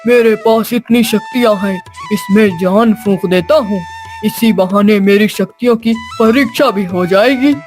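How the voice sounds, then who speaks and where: Cartoon holy man with magical powers acted by a woman, self-pleased, solemn, over clear music. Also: mid-range voice, a little slow, darkish tone, lightly acted. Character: proud, benevolent wandering holy man with powers. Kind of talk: cartoon story dialogue.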